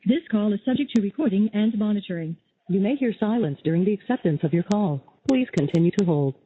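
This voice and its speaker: A woman's voice: medium pitch, animated, quick pace. A woman reading formal automated jail phone prompts in segmented recorded phrases. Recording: dull, noisy jail phone line.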